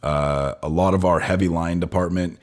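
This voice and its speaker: A man's voice: low voice, steady tone, moderate pace. A man co-hosting a podcast, explaining things in a laid-back, casual chat. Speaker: podcast co-host. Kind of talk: casual banter.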